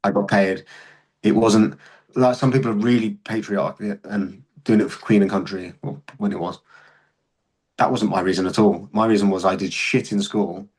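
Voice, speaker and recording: A man's voice: on the low side, fairly even intonation, moderate pace, darkish tone, breathy edge. A young man, a podcast guest and serving soldier, telling candid stories in a casual chat and swearing freely.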